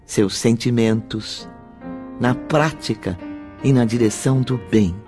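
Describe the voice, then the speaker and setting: An actor in a radio drama playing a calm, benevolent spiritual teacher in a solemn devotional style. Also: male voice, medium pitch, takes it slowly, lightly acted. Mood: serene, hopeful. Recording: music behind the voice.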